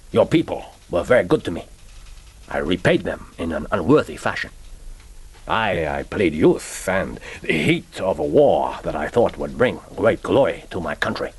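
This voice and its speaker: An actor playing a courteous, grave middle-aged Japanese intelligence chief with a formal, measured manner in a radio play. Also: male voice, deep voice, husky, full character voice. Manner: formal, measured, philosophical, prompting with "huh?". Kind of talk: radio drama acting.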